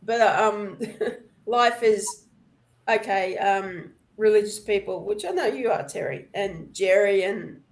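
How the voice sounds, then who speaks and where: A woman's voice: mid-range voice, low-quality recording. A woman in her sixties chatting casually as a panel guest, hesitant and meandering as she gathers her thoughts. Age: 60s. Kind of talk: casual chat.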